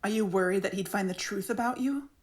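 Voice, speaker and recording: A woman's voice: mid-range voice, brisk, lightly acted. A woman playing a guarded, distrustful investigator in an audio drama, with a terse, serious, naturalistic delivery. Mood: defiant.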